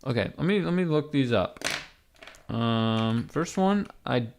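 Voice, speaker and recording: A raspy-voiced man livestreaming from his computer, rambling casually to his chat, swearing and talking to himself as he types. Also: medium pitch, expressive, measured pace, a little hiss or hum. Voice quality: raspy, gravelly. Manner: rambling, swearing, talks to chat while typing.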